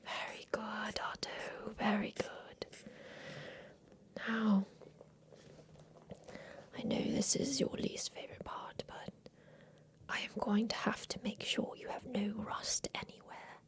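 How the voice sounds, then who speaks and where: Woman playing a slow whispering eccentric inventor. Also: mid-range voice, little pitch movement, close to an everyday voice. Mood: soothing, doting. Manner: soft, deliberate, praising, old-fashioned formal phrasing. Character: doting eccentric mansion inventor, plotting against her captor. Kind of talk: whispered ASMR roleplay.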